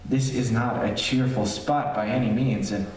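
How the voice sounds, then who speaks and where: Distant echoey recording, faint noise, man playing jumpy haunted-house reporter, halting, confiding, animated pitch, old radio horror drama. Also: lightly acted. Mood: uneasy.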